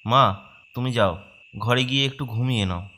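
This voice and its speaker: A man's voice: mid-range voice, moderate pace, pushed and bright, pitched above the performer's own voice. A man playing an anxious, devoted son in a horror cartoon, earnest and emotional. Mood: gentle.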